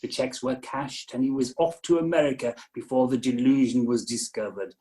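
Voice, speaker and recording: Pompous, pedantic gentleman doctor played by a man. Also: mid-range voice, fairly even intonation, brisk, close to an everyday voice. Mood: pompous, corrective. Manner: pompous, emphatic. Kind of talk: amateur comic radio play acting. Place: home, recorded over a video call.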